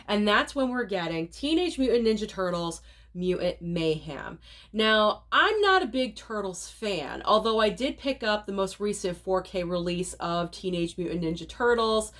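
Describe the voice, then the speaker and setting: A woman's voice: medium pitch, a bit bright. A woman with a cold and a nasal voice, chatting through a casual rundown of upcoming movies for her channel viewers. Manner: chatty, opinionated, direct to viewers. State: sick, nasal voice.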